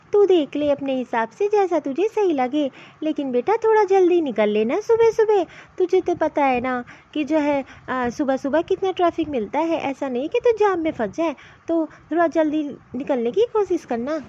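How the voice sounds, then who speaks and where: A woman's voice: high voice, expressive, moderate pace, few pauses, warm tone. A woman voicing a fussing, affectionate mother-in-law in a comedy cartoon story, with slightly dull sound. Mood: caring, fussing.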